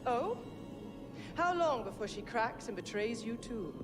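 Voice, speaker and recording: An actress playing a bitter, manipulative villainess, a fallen warrior, with a deliberate, taunting way of talking. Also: female voice, a little high, expressive. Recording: loud music behind the voice.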